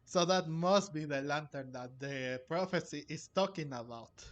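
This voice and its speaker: A man giving casual let's play commentary, halting and often repeating himself. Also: fairly high pitch, a bit bright, highs cut off. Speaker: gaming video host.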